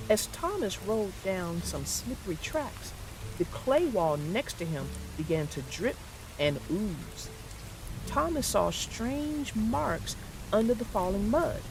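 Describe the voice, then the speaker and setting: A woman narrating a children's book aloud in a slow, expressive storytelling manner. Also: medium pitch.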